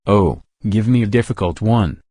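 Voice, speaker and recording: Man playing a low-voiced, kindly old Santa. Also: no echo, clean studio sound, lightly acted. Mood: gently needling. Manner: unhurried, gently needling, cheerfully self-assured. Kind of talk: radio drama acting.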